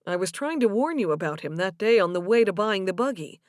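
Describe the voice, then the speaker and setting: Woman reading as an earnest, gentle man, dry sound. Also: mid-range voice, expressive, brisk, no echo, lightly acted. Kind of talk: audiobook character dialogue.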